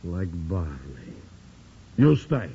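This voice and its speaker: Croaky old immigrant miner dad, broken english, pitch jumping all over, slow, muffled old radio sound. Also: male voice, big pitch swings, mellow, exaggerated voice. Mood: insistent. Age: older. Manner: broken English, insistent and hospitable. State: coughing with a chill. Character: frail, kindly, stubborn old immigrant miner father.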